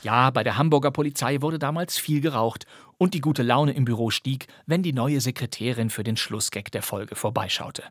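Male host, medium pitch, scripted presenting in a dry studio sound. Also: moderate pace, dry recording. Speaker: podcast host. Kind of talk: scripted, presenter-style narration.

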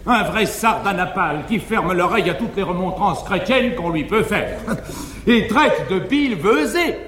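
An actor playing a garrulous, fearful but frank male valet, delivering quick, emphatic comic declamation on a 1950s live stage. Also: high voice, lively intonation, a bit bright, slight background noise. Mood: mocking, confiding. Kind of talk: classical verse-era stage acting. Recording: echoey 1950s live theatre recording, reverberant hall.

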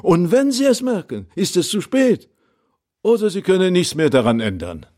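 A man's voice: sits high, sing-song, moderate pace, a little mellow. A man playing an eloquent, wry Alsatian theologian in a radio play, speaking in an emphatic, rhetorical way. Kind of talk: radio drama acting.

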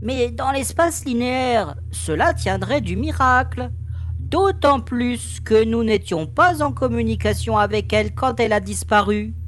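Woman acting a slow, high-voiced starship crewmember. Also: animated, a little hiss or hum. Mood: sober. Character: sober starship crewmember reporting on communications. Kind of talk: audio drama acting.

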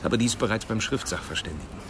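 A man's voice: on the low side, very fast, over music, lightly acted. A man in a German radio crime drama playing a sardonic police detective who reports facts in a clipped way. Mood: dry, sardonic. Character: sardonic male police detective, brisk and factual. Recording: noisy outdoor traffic background.

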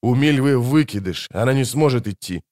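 A man's voice: mid-range voice, lively intonation, moderate pace, darkish tone, lightly acted. A man voicing a grim, impatient monster hunter in an audiobook, muttering through clenched teeth. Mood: grim, terse.

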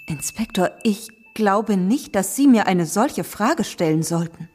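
A woman's voice: mid-range voice, expressive, unhurried, a little mellow, slightly rough. An actress playing an aloof, theatrical society mother in a radio crime drama, languid and guarded. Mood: guarded, hesitant.

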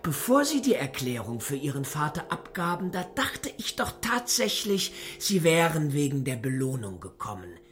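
An actor playing a smooth, menacing Nazi interrogator in a German radio drama, mock-polite and condescending, with deliberate pauses and drops into whispering. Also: male voice, a little high, sing-song, fairly bright tone, soft background music. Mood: mock courtesy.

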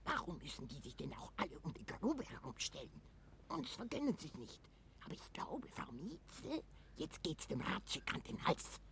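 A woman's voice: deep voice, wildly swooping pitch, normal speed, creaky, a bit bright, a little hiss or hum, full character voice. An actress playing a nosy old village woman in a German radio drama, rambling with suspense and self-importance. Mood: hushed, spiteful. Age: older.

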